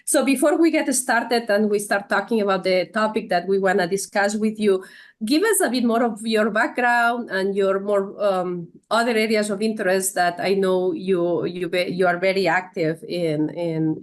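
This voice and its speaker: Woman, show host, mid-range voice, formal introductions, polite slightly halting questions. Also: mid-range voice. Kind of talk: reading guest introduction, interviewing.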